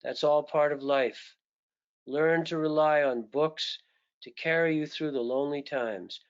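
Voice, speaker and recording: A man voicing a gentle, patient male teacher who speaks softly, low and slowly. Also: smooth, close to an everyday voice. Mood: earnest. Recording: dull lo-fi recording.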